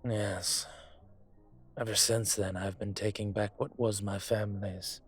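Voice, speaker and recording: A man playing a brooding, courteous and vengeful dragon mafia boss in an intimate audio roleplay, speaking in a measured, hesitant way with a husky voice. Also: on the low side, level tone, faint music behind. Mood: grim, resolute. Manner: measured, hesitant, pausing often. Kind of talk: audio roleplay drama, intimate dinner conversation.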